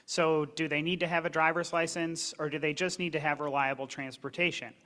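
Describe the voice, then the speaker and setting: Panelist, male, higher-pitched voice, earnest and explanatory. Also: flat delivery, fairly quick, sharp tone. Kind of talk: panel discussion.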